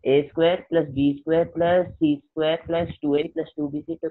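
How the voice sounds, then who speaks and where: A man's voice: fairly high pitch, steady tone, a little slow. A male student reciting a formula for his teacher on a class call. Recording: tinny call audio.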